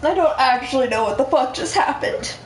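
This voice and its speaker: A woman with a fairly high voice chats casually during a video game, informal in how she talks. The room is clearly echoey, with faint noise behind her. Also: expressive, fairly quick. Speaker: co-player on a game stream. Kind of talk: casual gameplay chat.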